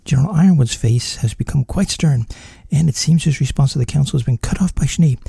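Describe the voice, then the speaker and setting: A man voicing a serious male field reporter who describes a tense meeting in a hushed, careful way. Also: on the high side, a little fast, creaky, breathy edge, dry recording, clean studio sound, exaggerated voice. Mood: grave. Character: measured, serious male reporter outside a mansion.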